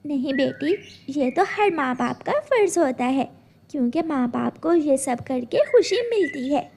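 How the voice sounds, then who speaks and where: A woman's voice: high voice, lively intonation, measured pace, creaky, a little mellow, a little hiss or hum, heavily acted. A woman voicing a devoted, anxious mother sparrow telling her story earnestly for a children's cartoon. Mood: tender.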